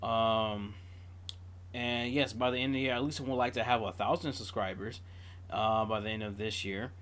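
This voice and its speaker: A man's voice: lowish voice, steady tone, normal speed, a bit bright. A man hosting a reaction video, talking casually as he shares his thoughts.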